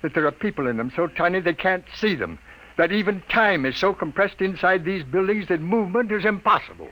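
A man playing a brash, wisecracking newspaper editor in a 1950s radio drama, brisk, cocky and commanding. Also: sits high, lively intonation, fairly bright tone, rough recording. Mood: dramatic. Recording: old radio broadcast recording.

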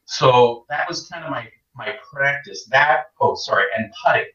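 A man raised in Canada, a golf coach, rambles through stories and laughs freely. His voice has a bright, forceful edge but sits back from the mic, echoing around a room. The sound is slightly dull. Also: mid-range voice, expressive, moderate pace. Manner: laughing often, rambling storytelling. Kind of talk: casual interview chat. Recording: distant, echoey, dull sound.

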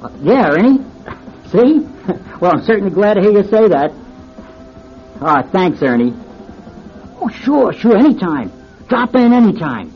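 An actor playing the brash, wheedling owner of a seedy go-go club in a classic radio drama, with slangy, excitable hustler talk. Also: male voice, high voice, measured pace, pauses often, faint music behind, exaggerated voice. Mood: relieved. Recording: muffled old radio drama recording.

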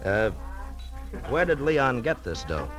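An actor playing a wry, hard-boiled private detective in a terse, laconic 1940s radio drama style. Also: male voice, medium pitch, sing-song, slow pace, fairly bright tone. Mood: probing. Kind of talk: 1940s radio detective drama acting, first-person narration. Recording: old radio recording, music behind.

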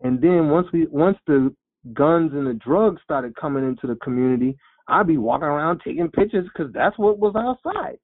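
A man in his forties telling his life story in an interview, animated and quoting himself in lively voices. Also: on the high side, moderate pace. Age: 40s. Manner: animated storyteller, acts out quotes. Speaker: guest, rapper. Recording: phone-like lo-fi sound.

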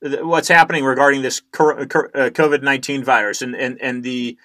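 Guy hosting, stumbles a lot, bright tense voice. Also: mid-range voice, fairly even intonation. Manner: halting, many restarts and fillers. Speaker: host. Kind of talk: interview hosting.

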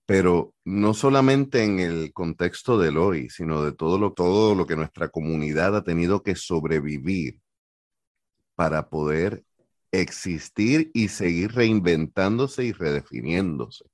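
A man hosts an interview, building his questions slowly and thoughtfully. His voice is low, recorded dry and close. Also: a little mellow, no room sound. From Puerto Rico. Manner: thoughtful, long framing questions. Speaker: podcast host.

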